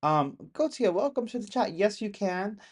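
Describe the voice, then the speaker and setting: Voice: mid-range voice, expressive, normal speed. A person in their thirties chatting casually with live-stream viewers from home in the countryside, rambling and laughing often. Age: 30s.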